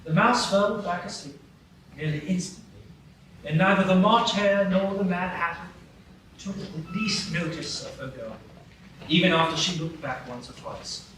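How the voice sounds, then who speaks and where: Male narrator, measured storytelling; distant mic, echoey with audible hiss. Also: high-pitched, a bit bright. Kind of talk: live radio play narration. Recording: echoey stage from far off, noisy.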